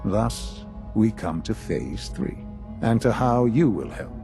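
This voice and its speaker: An actor playing a grave older institute leader in a video game, speaking formally and deliberately with measured pauses. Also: male voice, fairly low pitch, sing-song, over music, exaggerated voice. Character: grave older male leader of a secretive science institute, dying.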